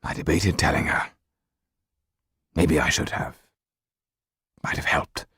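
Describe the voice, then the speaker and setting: Husky, whispery old lawyer. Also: male voice, extremely low pitch, fairly even intonation, slow, sharp tone, no echo, clean studio sound, exaggerated voice. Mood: regretful. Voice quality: breathy, husky, cracked. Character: old French lawyer, weary, hesitant, dignified.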